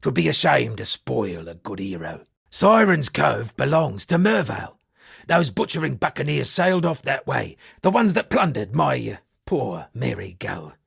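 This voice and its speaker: Gravelly, theatrical roguish old-world sea captain. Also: male voice, medium pitch, very animated, normal speed, dry recording, studio quality, heavily acted. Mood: grave, guarded, then wry. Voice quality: gravelly, husky. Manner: theatrical, wry, flowery storytelling. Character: roguish shipwrecked sea captain, wry amateur poet. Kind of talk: video game character dialogue.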